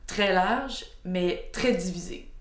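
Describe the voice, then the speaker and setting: Montreal woman, 20s, slow and deliberate, echoey room. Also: on the low side, noisy background. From Montreal, Quebec. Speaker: documentary interviewee. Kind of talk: interview statement.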